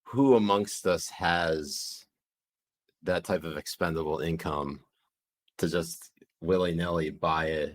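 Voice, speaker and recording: A man's voice: low-pitched, slow. A man co-hosting a casual livestream, leading the segments with sardonic asides. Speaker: podcast co-host.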